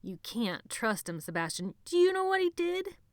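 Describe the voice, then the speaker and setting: A woman's voice: high voice, big pitch swings, no echo, studio quality, pitched above the performer's own voice. A woman voicing a gloating boy bully. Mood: taunting. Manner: slow, drawn-out taunting. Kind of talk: audiobook character voice.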